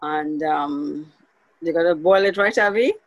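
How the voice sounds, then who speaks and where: A woman's voice: low voice, a little slow, no echo, lo-fi sound. A woman, plainly explaining a folk custom in a casual chat. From Trinidad.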